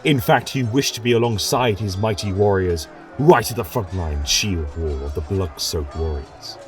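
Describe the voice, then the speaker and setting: Low-voiced man narrating a dark fantasy tale. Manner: measured, dramatic storytelling. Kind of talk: dramatic audiobook narration. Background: faint music.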